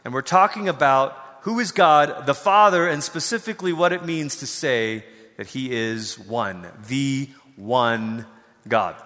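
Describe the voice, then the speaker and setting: A middle-aged man from Malaysia preaching a conversational sermon, telling personal stories in an informal, animated way. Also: medium pitch, measured pace. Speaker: pastor. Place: large hall, preaching to a congregation. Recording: long reverberant hall sound.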